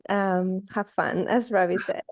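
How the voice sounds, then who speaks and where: A woman's voice: mid-range voice, very animated, slow, a little mellow, dry recording. A woman who is an internal medicine resident presenting a case on a video call, nervous and giggly.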